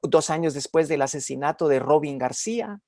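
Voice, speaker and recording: A man's voice: fairly high pitch, normal speed, vocal fry, a little mellow, no echo, studio quality. An older man interviewing conversationally, giving long emphatic commentary and repeating phrases. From Guatemala. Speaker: interviewer, academic.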